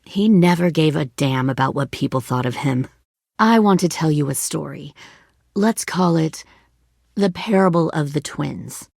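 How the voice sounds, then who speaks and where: A hardened female survivor leader from a video game drama, middle-aged and menacing. Her voice sits low with a heavy gravelly creak, captured very dry and close. She talks like someone used to giving orders and making threats. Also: a little mellow, no room sound. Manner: cold, threatening, commanding.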